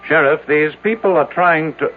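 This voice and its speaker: A man's voice: a little high, normal speed, close to an everyday voice. An actor playing a humorless, suspicious rich businessman in an old-time radio drama, speaking in a clipped, formal, impatient way. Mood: agitated. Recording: tinny vintage radio drama, faint music.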